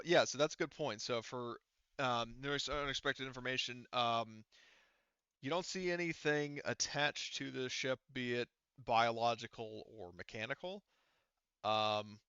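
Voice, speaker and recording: A man's voice: mid-range voice, pushed and bright, smooth, no room sound, highs cut off. A man casually game-mastering a tabletop roleplaying game, improvising hesitantly and thinking aloud.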